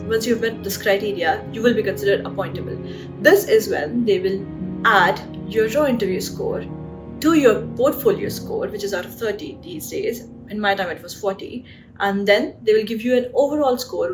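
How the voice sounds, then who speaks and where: Young woman, Indian accent, chatty vlog advice, mid-range voice, slightly distant mic, guitar music behind. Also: mid-range voice. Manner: chatty, conversational, with frequent fillers and "right?" tag questions. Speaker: doctor giving career advice.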